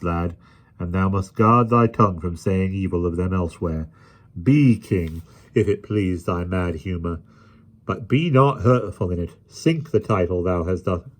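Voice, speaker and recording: A man's voice: deep voice, a little slow. A man voicing a burly, good-natured ruffian chief with firm, archaic rustic speech in a storybook. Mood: firm, kindly.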